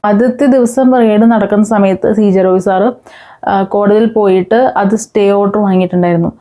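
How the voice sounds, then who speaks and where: A woman with a Kerala accent hosts informal news commentary, talking to viewers in a conversational, hesitant way with frequent pauses. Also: mid-range voice, fairly even intonation, mellow. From Kerala, India. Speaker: online news commentary host.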